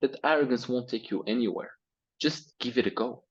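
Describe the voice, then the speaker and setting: Young Arabic-accented man, interview guest, blunt and profane, husky and slightly muffled. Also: mid-range voice, brisk. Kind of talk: interview, motivational rant.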